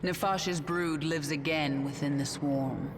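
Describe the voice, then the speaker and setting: A woman's voice: deep voice, steady tone, takes it slowly, background noise. An actress voicing a ruthless, pragmatic queen of an alien swarm in a video game, with a cold, commanding manner. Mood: cold, resolute. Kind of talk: dramatic video game acting. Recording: loud game music over voice.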